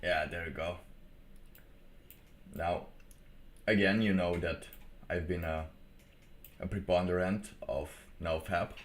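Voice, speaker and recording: A man hosting a livestream in a casual, rambling way, thinking aloud in halting phrases. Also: low voice, fairly even intonation. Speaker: livestream host.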